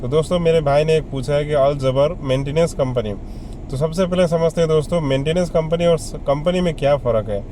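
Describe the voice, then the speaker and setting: A man's voice: on the high side, brisk, warm tone, a little hiss or hum. A man giving casual, conversational advice to viewers as a vlogger, often repeating himself and listing examples. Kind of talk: casual explainer addressed to viewers.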